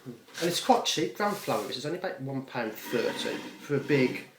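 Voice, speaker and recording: A lower-voiced British man talks his way casually through a recipe, wandering into self-deprecating asides. He sounds like a home cook filming himself in a reverberant kitchen, with clear noise of cooking around him. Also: expressive, moderate pace. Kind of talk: casual, unscripted cooking demonstration. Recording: echoey kitchen with frying, clattering noise.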